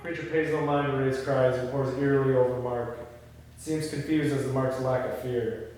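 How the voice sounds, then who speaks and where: A man's voice: mid-range voice, steady tone, moderate pace, darkish tone. A man leading a script table read, reading stage directions aloud and talking casually. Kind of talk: scripted narration of screenplay, with casual discussion. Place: reverberant room at a group table read. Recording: echoey hall, distant mic, faint noise.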